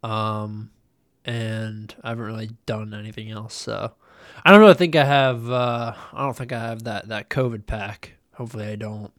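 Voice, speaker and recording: A man's voice: fairly low pitch, steady tone, moderate pace, a bit bright, smooth, dry recording, clean studio sound. A young man hosting a casual solo podcast, nasal and congested from a cold, rambling loosely with lots of ums and uhs. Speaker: podcast host.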